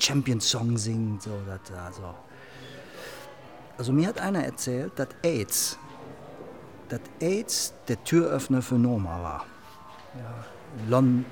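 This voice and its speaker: A man recalling things casually, groping for words in short, halting fragments. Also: mid-range voice. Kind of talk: casual spoken recollection.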